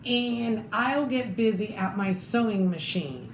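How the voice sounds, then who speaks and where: A woman's voice: mid-range voice, expressive, slow pace. A woman voicing a kindly, scheming neighbour woman from a children's Halloween storybook. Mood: helpful. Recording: echoey room with faint noise.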